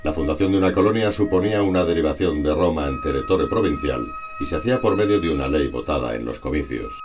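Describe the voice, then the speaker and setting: A man's voice: a bass voice, lively intonation, fast. A man reads a scripted historical narration in a formal, expository way. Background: music.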